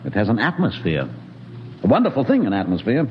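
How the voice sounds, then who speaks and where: Düsterer, grübelnder Schiffsarzt, 1950er-Hörspiel. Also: male voice, on the low side, huge pitch swings, slight background noise. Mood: musing. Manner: measured, philosophical, gloomy. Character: grave, fatalistic man, a ship's doctor. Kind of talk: 1950s radio drama acting. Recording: dull old radio recording with hall reverb.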